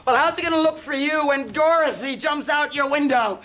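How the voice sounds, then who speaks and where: An American actress playing a middle-aged showbiz star, volatile, wounded and proud, in dramatic screen style. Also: female voice, high voice, a little fast, fairly bright tone. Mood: taunting. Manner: theatrical, emphatic, emotional. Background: music.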